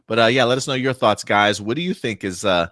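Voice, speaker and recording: A man's voice: lowish voice, brisk, a bit bright, no echo, studio quality. A man hosting a casual live entertainment talk show, discussing as a fan in an emphatic, opinionated way.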